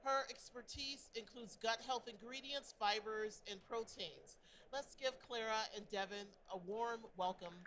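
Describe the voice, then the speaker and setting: A woman's voice: on the high side, unhurried, a bit bright, slight background noise, rough recording. A woman moderating a panel discussion, moving between scripted introductions and questions to guests.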